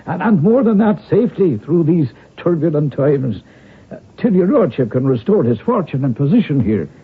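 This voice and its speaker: A man's voice: on the high side, very animated, normal speed, husky, heavily acted. A man playing a loyal, wily Irish manservant, deferential and folksy, in a radio drama. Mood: humble, persuasive. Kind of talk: 1970s radio drama acting. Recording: tinny band-limited radio broadcast.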